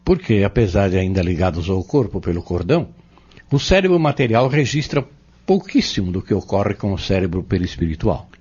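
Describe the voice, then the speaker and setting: A man playing a patient, earnest Spiritist teacher in an audio drama, explaining step by step in a measured, didactic way. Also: deep voice, big pitch swings, darkish tone, husky, full character voice. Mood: patient, instructive. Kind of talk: scripted audio drama dialogue. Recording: dull lo-fi audio.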